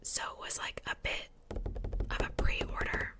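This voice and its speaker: A woman hosting an ASMR unboxing in a breathy, unvoiced whisper, softly repeating words and pausing between phrases. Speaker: ASMR video host.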